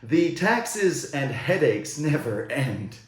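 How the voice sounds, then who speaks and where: A man's voice: medium pitch, very animated, slow pace, roomy sound. A man from the United States, delivering an indignant, rhetorical scripted monologue. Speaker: commentator.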